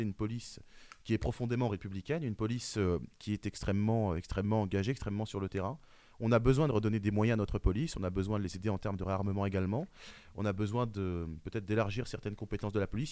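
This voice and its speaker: A young man arguing his views in a heated debate, insistent and rising in pitch when challenged. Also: a little mellow, no room sound. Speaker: debate guest.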